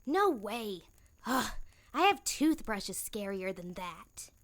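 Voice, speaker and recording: A woman's voice: medium pitch, big pitch swings, slow. A woman voicing a sarcastic, bossy girl camper in a light-hearted audio drama, dry and dismissive with a theatrical streak. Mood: scornful, exasperated.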